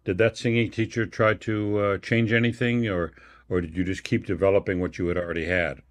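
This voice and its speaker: A man hosting a radio interview, asking questions in a measured way with occasional fillers. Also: low-pitched, flat delivery. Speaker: radio host.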